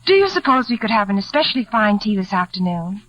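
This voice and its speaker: A woman playing a sweet, eager young newlywed wife, gentle and polite, in 1940s radio drama style. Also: medium pitch, very animated, fast. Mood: gentle eagerness. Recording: dull vintage radio broadcast.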